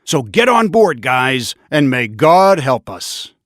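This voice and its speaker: Commanding army officer, animated delivery. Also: male voice, mid-range voice, slight background noise. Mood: resolute, urgent. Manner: commanding, deliberate. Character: grave, resolute Allied army officer briefing soldiers. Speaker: military commander. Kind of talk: scripted mission briefing.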